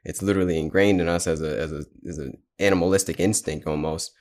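A man's voice: deep voice, steady tone, quick pace, darkish tone. A man in his twenties hosting a casual podcast conversation, laid-back and agreeable. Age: 20s. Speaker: podcast host.

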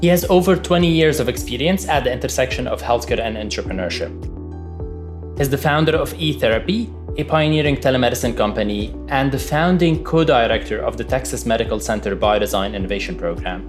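Male podcast host, mid-pitched voice, formal intro read over a clear guitar music bed. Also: expressive, fairly quick. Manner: reads a formal bio, then speaks with filler words. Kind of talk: scripted guest introduction.

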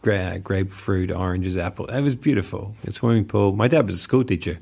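Low gravelly man with a mixed Kiwi accent. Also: brisk, a little mellow. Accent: New Zealand with Northern Irish and American traces. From New Zealand. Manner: chatty and self-deprecating, laughs often, frequent fillers. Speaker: interview guest. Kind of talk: casual conversational storytelling.

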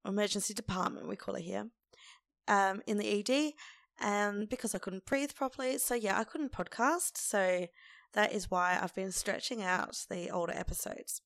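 A woman hosting a casual solo podcast in an informal, conversational way, with a croaky, hoarse voice. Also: mid-range voice, moderate pace, a bit bright, no room sound, studio quality. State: lingering hoarseness after laryngitis. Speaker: podcast host.

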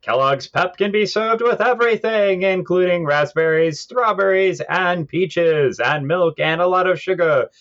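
A man playing a hearty, salesy 1940s radio cereal announcer in parody, with exaggerated, drawn-out delivery. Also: sits high, a bit bright, highs cut off, pitched above the performer's own voice. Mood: hearty, peppy. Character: peppy old-time radio cereal announcer, hearty adman.

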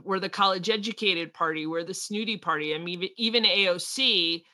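A woman co-hosting a podcast, chatting casually about politics in a lively way, with quick asides and frequent laughs. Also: fairly low pitch. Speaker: podcast co-host.

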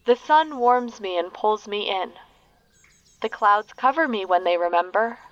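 A woman voicing the earth, a wise and motherly presence who speaks calmly and slowly. The tone is soft and nurturing. It is a storybook figure read aloud. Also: medium pitch, dry recording, lo-fi sound, lightly acted. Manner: slow, calm and nurturing.

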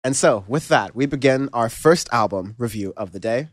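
A man hosting a music podcast in casual chat, relaxed and rambling with lots of fillers and restarts. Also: mid-range voice, normal speed, no echo, studio quality. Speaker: podcast host.

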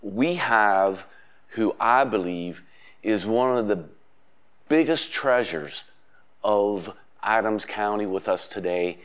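Guy hosting local community tv, lowish voice, keen but says um a lot. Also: slow pace, a bit bright, clear, resonant tone. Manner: enthusiastic, hesitant with fillers. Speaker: host. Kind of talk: community TV interview.

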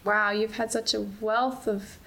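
A woman's voice: medium pitch, flat delivery, a little slow, pushed and bright. A woman hosting an interview program at a youth conference. She asks questions and keeps her guest going with frequent short encouragements. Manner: friendly, encouraging, frequent affirmations. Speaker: show host, interviewer.

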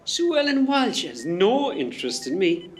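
An actor with a West Cork Irish accent playing a cheeky, teasing young hospital worker in a comedy radio play. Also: male voice, high-pitched, huge pitch swings, normal speed. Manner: teasing, jokey.

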